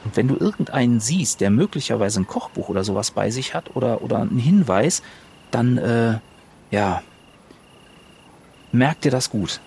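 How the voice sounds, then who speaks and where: A man's voice: medium pitch, lively intonation, moderate pace, vocal fry, long pauses, a little mellow, slight background noise. A man improvising casual in-character talk as a role-play player, hesitant and colloquial.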